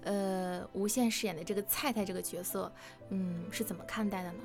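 A woman's voice: mid-range voice, fairly even intonation, brisk, darkish tone, faint music behind. A woman hosting a casual interview chat in a playful, giggly manner. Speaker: host.

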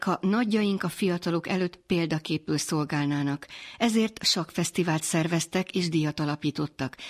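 A woman hosting a radio program, reading scripted links in a clear, measured announcing manner. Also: on the low side.

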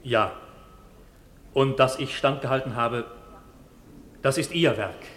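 An actor playing a weary, embittered, wronged gentleman in a radio play, grave and formal. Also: mid-range voice, slow. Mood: bitter. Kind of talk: German radio play acting. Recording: reverberant hall.

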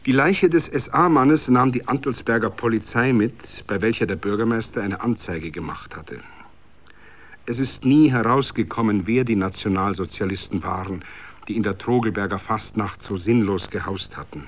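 A man's voice: lowish voice, moderate pace. A man with a Bavarian accent reads a literary story as dramatic scripted narration.

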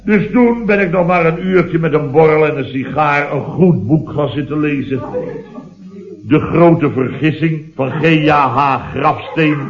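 A man's voice: a little high, lightly acted. An actor playing a flustered, long-winded married man rambling emphatically in a 1970s radio comedy sketch. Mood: rueful. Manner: rambling, emphatic, peppered with tag questions. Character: married man, flustered long-winded comic storyteller complaining about wife. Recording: dull old radio recording, roomy, audience laughter.

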